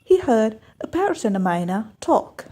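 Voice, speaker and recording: A woman storyteller giving an expressive, scripted narration of a folktale. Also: a little high, moderate pace.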